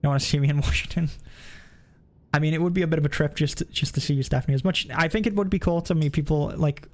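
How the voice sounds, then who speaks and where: A man's voice: a little high, quick pace. Rambling young man chatting while gaming. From United Kingdom. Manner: rambling, hesitant, self-correcting, jokey. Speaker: streamer. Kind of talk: casual chat with stream viewers while gaming.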